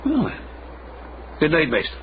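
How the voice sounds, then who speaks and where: An actor playing a wary, dogged investigator in a period radio drama, terse, guarded and probing. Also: male voice, on the high side, wildly swooping pitch, unhurried, echoey room. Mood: easy, guarded. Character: wary, dogged male investigator in old Edinburgh. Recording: muffled, noisy radio drama recording.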